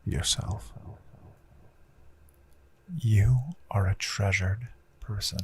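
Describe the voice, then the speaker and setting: A man voicing a gentle, reassuring hypnotist in a hushed, breathy guided meditation that often slips toward a whisper, with long deliberate pauses. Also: fairly low pitch, steady tone, exaggerated voice. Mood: hushed, intimate. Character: gentle male hypnotist Count, calm and reassuring. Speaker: hypnotist, audio host.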